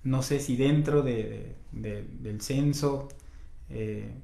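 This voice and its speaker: A man's voice: medium pitch, takes it slowly, creaky, warm tone, echoey room. A man from Callao, a street dancer, speaking hesitantly in documentary testimony. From Callao, Peru.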